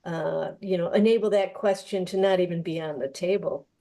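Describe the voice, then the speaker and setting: A woman in a panel discussion, speaking in long, measured sentences and hesitating as she searches for words. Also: lowish voice, fairly even intonation, warm tone. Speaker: theatre panel participant.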